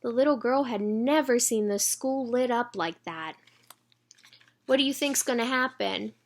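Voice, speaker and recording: A woman's voice: on the high side, a little slow. A woman reading a children's story aloud expressively, engaging young listeners as she goes. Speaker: children's read-aloud reader.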